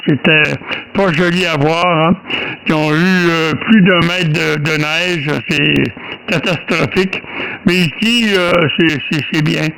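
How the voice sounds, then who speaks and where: A man's voice: fairly high pitch, vocal fry, fairly bright tone, slight background noise, poor audio. A man with a Québécois French accent chatting casually and bluntly as an amateur radio operator into a studio microphone. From Quebec, Canada.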